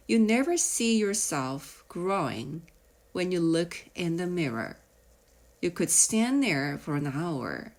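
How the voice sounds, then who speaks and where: A woman narrating a children's nonfiction audiobook in a measured, explanatory way with deliberate pauses. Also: fairly low pitch. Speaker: audiobook reader.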